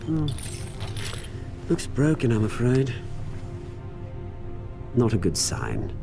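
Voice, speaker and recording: Smug villain, swooping voice. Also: male voice, medium pitch, wildly swooping pitch, takes it slowly, creaky, over music, heavily acted. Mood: gloating. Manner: sarcastic, theatrical. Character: smug mocking British crook posing as executive. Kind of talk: video game acting.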